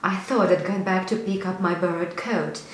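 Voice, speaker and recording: An actress performing a stage monologue as a young woman haunted by a painful memory, moving between hushed whispers and loud outbursts. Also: on the low side, steady tone, normal speed, natural voice. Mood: furious. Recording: echoey room, faint noise.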